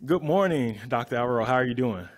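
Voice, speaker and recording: A man's voice: mid-range voice, expressive, quick pace. An American man in his thirties speaking informally and conversationally as he hosts a casual interview. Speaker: interview host, vegan chef and influencer. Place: indoor room, remote video call. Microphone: desktop condenser microphone up close.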